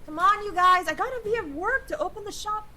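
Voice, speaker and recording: An actress playing an affectionate, excitable young woman, an effusive friend in a fantasy comedy audio drama. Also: extremely high pitch, fairly quick, very noisy. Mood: excited.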